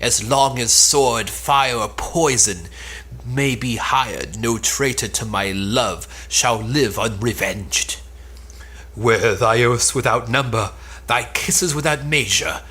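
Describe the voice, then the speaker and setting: A woman's voice: extremely low pitch, a bit bright, husky, exaggerated voice. A woman playing a proud, vengeful spurned earth goddess, declaiming archaic lines in an amateur cold read of an Elizabethan play.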